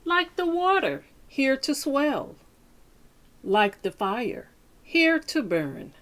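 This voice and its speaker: A woman reading a picture book aloud to children like a teacher, with deliberate, dramatic phrasing and pauses. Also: sits high, very animated, a bit bright. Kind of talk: expressive children's read-aloud.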